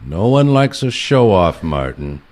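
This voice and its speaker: An actor playing an older retired male assassin, a stern mentor with a dry, curt, deadpan manner in a comic audio play. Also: deep voice, unhurried.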